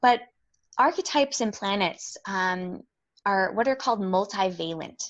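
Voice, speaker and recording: A woman astrologer talking reflectively in a relaxed interview, explaining her practice with frequent "um" and "like". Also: medium pitch, measured pace, lo-fi sound. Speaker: astrologer guest. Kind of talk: casual conversational interview.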